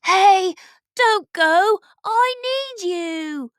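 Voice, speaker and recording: Woman voicing an excitable high-pitched young storybook prince. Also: very slow, dry recording, clean studio sound, exaggerated voice. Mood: pleading. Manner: exclaiming, dramatic. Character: young prince boy, excitable, frightened but brave.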